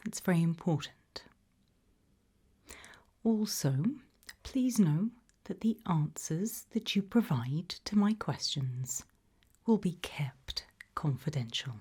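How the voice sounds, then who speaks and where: Female, British, low-pitched and breathy. Gentle, whispered ASMR interviewer, calm and reassuring. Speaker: ASMR roleplay host playing an abduction investigator.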